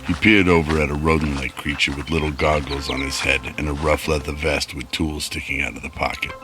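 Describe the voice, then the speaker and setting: Male narrator for radio drama; extremely low voice, scripted storytelling over clear music. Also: level tone, fairly quick.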